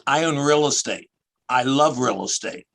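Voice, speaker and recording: A man's voice: medium pitch, normal speed, gravelly, a bit bright. A man in his sixties with a Philadelphia accent, a guest telling rambling stories about his work over a video call. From Philadelphia area. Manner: rambling, chatty storyteller. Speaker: guest, tax accountant.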